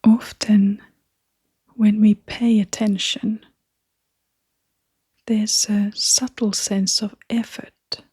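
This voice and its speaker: A woman leading a guided meditation in a gentle, hushed, breathy voice, leaving long pauses between short phrases. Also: mid-range voice, little pitch movement, dry recording, studio quality. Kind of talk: guided meditation, soft-spoken.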